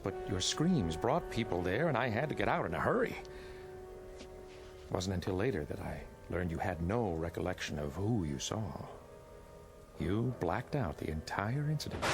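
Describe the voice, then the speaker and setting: An actor playing a menacing, courteous killer in a radio drama, unhurried and falsely polite as he toys with his victim. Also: deep voice, sing-song, over music. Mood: taunting, sinister.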